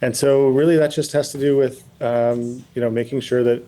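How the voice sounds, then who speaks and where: Careful, mellow-voiced man. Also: mid-range voice, fairly even intonation. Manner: careful, measured, diplomatic, halting. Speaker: pilot and pilots' association president, interview guest. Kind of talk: broadcast news interview answers.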